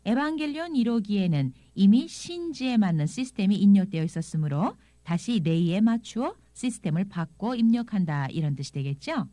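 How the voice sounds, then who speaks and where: A woman presenting a scripted audio language lesson, explaining things in an expressive reading style. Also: medium pitch, fairly quick, few pauses, dry recording. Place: dry studio.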